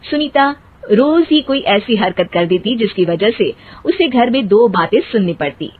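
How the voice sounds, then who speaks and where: A woman's voice: medium pitch, expressive, normal speed, slightly rough, phone-quality sound, soft background music. A woman narrating a scripted comic story for a cartoon, as the storyteller between the dialogue.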